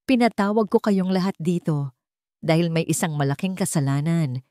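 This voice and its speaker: Woman voicing a cold, commanding billionaire, low-pitched. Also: expressive, moderate pace, mellow, no room sound, clean studio sound, natural voice. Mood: cold accusation. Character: cold, calculating billionaire businessman father exposing lies.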